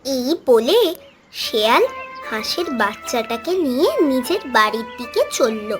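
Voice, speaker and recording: A narrator reading a scripted children's animal story. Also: sits high.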